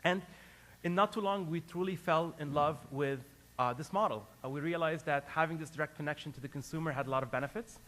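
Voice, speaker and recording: A man's voice: on the high side, quick pace, fairly bright tone. A man who grew up in Lebanon, a company founder giving a business presentation to an audience, earnest and hesitant, with many fillers and restarts.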